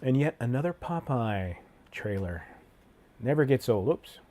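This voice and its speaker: A man's voice: mid-range voice, lively intonation, measured pace, mellow. A man with a head cold, sounding congested and sniffly, hosting a live stream in a laid-back, slangy way as he greets viewers by name.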